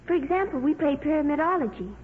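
A woman's voice: high-pitched, quick pace, lightly acted. A female performer playing a solemn, precocious little girl in a 1950s radio drama, earnest, precise and bookish. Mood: proudly precise. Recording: narrow, noisy 1950s radio recording.

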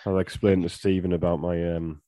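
A man speaks in a very deep voice, nasal and stuffed up from a flu. He jokes about himself in a dry, deadpan way. The sound is close and dry with no room. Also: fast talker, no echo. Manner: dry self-mocking humour. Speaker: podcast co-host. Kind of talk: casual football podcast chat.

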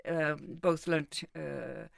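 A middle-aged woman talks as an interview guest, lively and emphatic, and leans on everyday examples to make her points. Her voice sits on the lower side for a woman. She is picked up from slightly back from the microphone in a dry, dead room. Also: extremely slow, vocal fry, dry recording, distant mic. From England. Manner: animated, emphatic, explains with examples. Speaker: guest psychotherapist. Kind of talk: casual conversational interview.

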